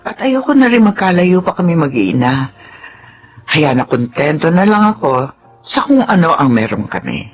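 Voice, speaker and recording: A humble, loyal former maid. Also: female voice, deep voice, sing-song, moderate pace, highs cut off, soft background music. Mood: reflective narration. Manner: polite, deferential, uses "ho". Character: humble, loyal middle-aged former housemaid Daleng. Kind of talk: radio drama acting.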